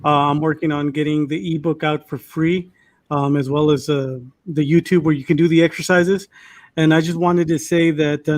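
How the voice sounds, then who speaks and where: A man's voice: fairly high pitch, darkish tone. A man speaking informally as a guest author on an online panel, making announcements over a video call.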